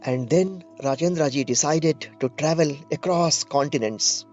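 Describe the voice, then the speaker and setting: Male narrator, scripted, measured, roomy dull sound, soft music behind. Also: a little high. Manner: measured, descriptive storytelling.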